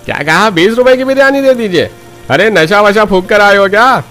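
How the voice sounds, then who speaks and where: Sharp, high, scornful street seller, played by a man. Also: brisk, faint music behind. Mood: scornful, mocking. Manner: mocking, contemptuous.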